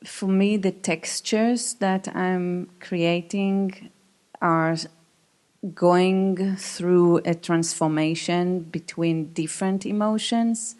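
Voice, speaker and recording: Slow-talking woman with an Israeli accent. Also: fairly low pitch, steady tone, a little mellow. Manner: halting, thoughtful. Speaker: composer, panelist. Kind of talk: panel discussion, answering audience questions. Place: live event venue with audience.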